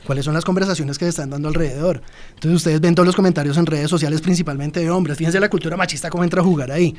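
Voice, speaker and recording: Un hombre colombiano con acento colombiano, invitado experto en una entrevista de radio, que explica con calma y se dirige directamente a los oyentes. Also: male voice, a little high, racing. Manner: explanatory, addresses listeners directly. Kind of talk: radio interview.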